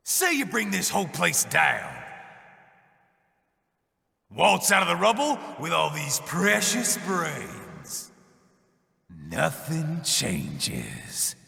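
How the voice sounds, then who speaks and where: An actor playing a sadistic, warmongering cyborg mercenary in a game cutscene, gravelly, taunting and theatrical. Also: male voice, a little high, wildly swooping pitch, extremely slow, long pauses, full character voice. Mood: mocking. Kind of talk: video game cutscene acting. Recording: long hollow reverb.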